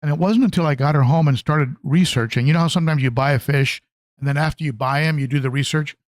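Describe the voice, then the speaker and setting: A man hosting a casual hobby livestream, talking conversationally and haltingly, often repeating phrases as he answers viewers. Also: a little high, dry recording. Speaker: livestream host, aquarium hobbyist.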